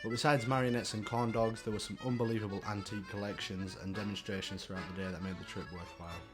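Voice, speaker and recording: A man's voice: lowish voice, steady tone, fast, loud music behind the voice. A man narrating a travel video in a scripted voice-over, with dry, wry humor.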